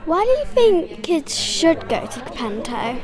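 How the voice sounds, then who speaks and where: Very high-voiced young female interviewer. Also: sing-song, measured pace, crowd noise. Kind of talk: prepared interview questions and casual chat.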